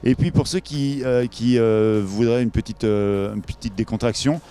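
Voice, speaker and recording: A man's voice: medium pitch, steady tone, normal speed, mellow. A man presenting a promotional event interview, improvising and often searching for his words.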